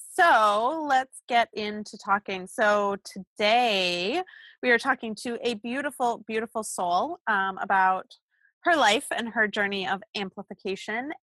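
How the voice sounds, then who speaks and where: Bright, crisp-spoken woman co-host. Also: fairly high pitch, measured pace, no echo, rough recording. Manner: succinct, laughs easily. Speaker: podcast co-host. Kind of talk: casual chat. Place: small closet.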